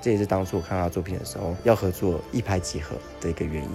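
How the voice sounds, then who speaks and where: Low-voiced man over prominent music. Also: very fast. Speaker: photo model leaving a recorded message. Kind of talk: casual spoken testimonial. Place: pre-recorded voice message played over music.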